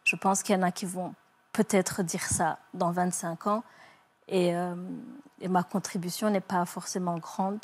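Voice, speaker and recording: A woman in her forties from Rwanda, a psychologist, giving halting testimony as a guest on a TV studio set, speaking softly with many pauses and repeats into a lapel mic. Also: fairly low pitch, level tone. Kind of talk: emotional TV interview testimony.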